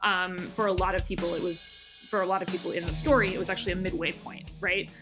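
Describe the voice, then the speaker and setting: A woman's voice: fairly low pitch, little pitch movement, quick pace, music in the background. A woman speaking as an editor interviewed on a podcast, explaining things conversationally and reflectively, often checking in with the listener. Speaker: magazine editor, interview guest.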